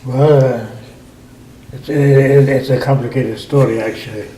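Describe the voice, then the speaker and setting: An older man from Hungary, a winery owner, telling his story in an oral history interview, halting and repeating words often. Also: mid-range voice, steady tone, dark tone, echoey room, a little hiss or hum, rough recording.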